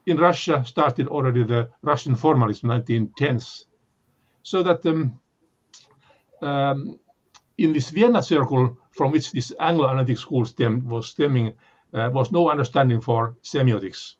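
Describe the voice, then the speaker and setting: An older man from Finland with a Finnish accent, giving an unscripted academic talk in a halting way, full of fillers and restarts. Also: medium pitch. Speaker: lecturer. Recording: dull lo-fi recording.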